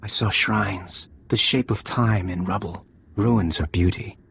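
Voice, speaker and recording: A solemn alien native from a video game, low and heavily gravelled. The speech is formal and terse, with faint noise and ambience behind it. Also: male voice, measured pace, fairly bright tone, exaggerated voice. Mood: wistful, solemn. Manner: stilted, formal, terse phrasing. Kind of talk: video game cutscene acting.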